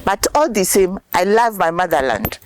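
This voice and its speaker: A woman's voice: lowish voice, very animated, normal speed, slight background noise. An older woman, a studio guest speaking earnestly and repeating words for emphasis. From Ghana. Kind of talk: impassioned interview answers.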